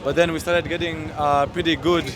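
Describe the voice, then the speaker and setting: A young man from Uganda talks about racing in an easygoing way at an outdoor track. His voice sits a little high. A loud crowd fills the background. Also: flat delivery, moderate pace. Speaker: racer. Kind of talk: press day interview.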